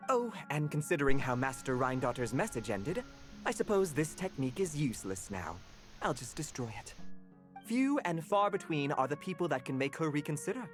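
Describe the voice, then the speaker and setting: A woman's voice: low-pitched, very animated, moderate pace. A woman voicing a haughty talking butler cat in a video game, formal, pompous and precise. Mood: brisk, formal. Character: haughty elder butler cat, an alchemical being. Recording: music under the voice.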